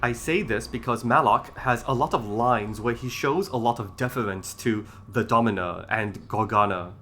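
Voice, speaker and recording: A man hosting a scripted video essay about game lore, explaining in a measured way. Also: medium pitch, sharp tone, a little hiss or hum. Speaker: video host.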